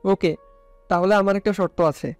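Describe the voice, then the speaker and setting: High, smooth, flirtatious college lad, acted. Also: male voice, steady tone, moderate pace, darkish tone, faint music behind. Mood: teasing. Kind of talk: romantic audio story acting.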